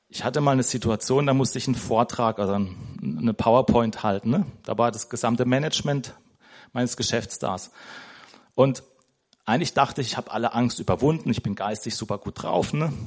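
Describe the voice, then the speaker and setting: A man preaching an informal sermon in a church, talking conversationally, searching for words and ending most sentences with a questioning tag. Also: medium pitch. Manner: conversational, tags nearly every sentence with "ne?". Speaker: lay preacher.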